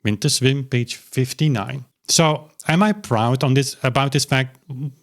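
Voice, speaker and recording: A man's voice: mid-range voice, a little slow. Rambling man talking to camera. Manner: rambling, with many restarts and fillers. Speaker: YouTube channel host. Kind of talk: casual talk to camera. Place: small cozy home studio.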